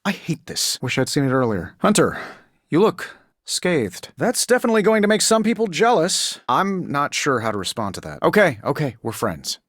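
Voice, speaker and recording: A cocky genius inventor superhero for a video game, kinda high male voice, super animated n sarcastic, dry close sound. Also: moderate pace, no room sound. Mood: exasperated, sarcastic. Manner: sardonic, glib, conversational. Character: wisecracking male billionaire inventor superhero, cocky and quick-witted.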